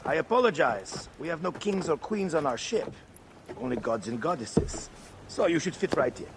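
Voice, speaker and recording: An actor playing a boisterous older ship captain who laughs, mocks and bellows battle orders in a gravelly voice. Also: male voice, on the high side, animated, normal speed, background noise. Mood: teasing. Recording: game scene with loud music.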